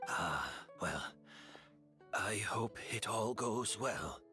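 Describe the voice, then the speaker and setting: Slow, weary, resigned scholar. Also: male voice, on the low side, expressive, over music. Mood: solemn. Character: weary, resigned, gentle male scholar facing death. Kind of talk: dramatic game dialogue.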